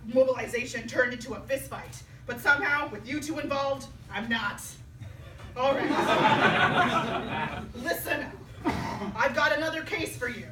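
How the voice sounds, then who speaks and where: A woman's voice: on the high side, sharp tone. A woman playing an exasperated, sarcastic, scolding police captain in a staged reading. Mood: sarcastic, brisk. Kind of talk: staged reading before an audience. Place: live theater stage before studio audience. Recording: echoey stage, distant mic, noisy room.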